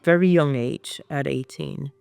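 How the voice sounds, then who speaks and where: A middle-aged woman from Puerto Rico with a Spanish accent and Spanish as her first language, telling her life story as an interview guest in a halting, determined way. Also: deep voice, gravelly, darkish tone, smooth, soft background music. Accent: Puerto Rican Spanish.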